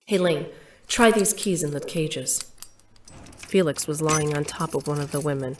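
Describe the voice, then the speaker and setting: Low-pitched, bold heroine. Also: female voice, unhurried, noisy background. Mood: brisk. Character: brisk, bold heroine narrating her rescue adventure. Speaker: narrator and lead character. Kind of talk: first-person audio drama narration and dialogue.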